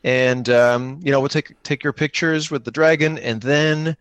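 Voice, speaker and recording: Male game host, medium pitch, conversational improvised narration, asks and answers his own questions, very little room sound. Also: fairly even intonation, a little slow, a bit bright, clear, resonant tone, dry recording, highs cut off. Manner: thinks aloud, poses questions and answers them. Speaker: host and player of an improvised solo-engine tabletop game. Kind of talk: casual tabletop roleplay chat, improvised narration.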